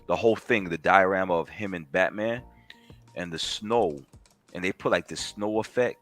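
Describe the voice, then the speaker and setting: A man with a very low, deep voice rambles enthusiastically through a casual live-stream chat about collectible statues. He leans on fillers and trails off mid-thought. Faint music plays underneath. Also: moderate pace, fairly bright tone.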